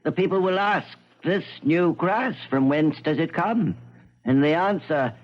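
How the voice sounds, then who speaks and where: An actor playing a small, stern, pious Himalayan royal counselor, formal and pompous, in a 1970s radio drama. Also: male voice, fairly high pitch, expressive, measured pace. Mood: stern, affronted. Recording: muffled 1970s radio broadcast.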